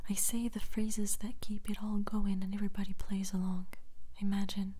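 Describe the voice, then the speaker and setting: A woman speaking in a hushed, breathy whisper in an intimate, measured ASMR style, as if reading poetry. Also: medium pitch, little pitch movement. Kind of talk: soft-spoken ASMR poetry reading and chat.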